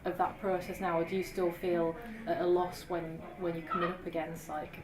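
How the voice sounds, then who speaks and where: A woman's voice: on the low side, little pitch movement, normal speed. A woman interviewing a band, asking curious, conversational questions. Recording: echoey, with a crowd behind.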